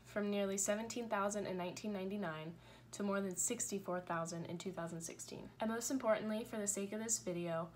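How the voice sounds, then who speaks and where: A young American woman reading scripted documentary narration and interview questions. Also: medium pitch. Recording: noticeable background noise.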